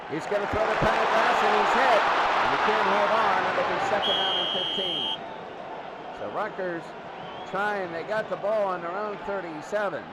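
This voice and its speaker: A man calling a football game as a live play-by-play commentator. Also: high-pitched. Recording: loud crowd and noise behind commentary. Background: loud crowd, stadium-like noise.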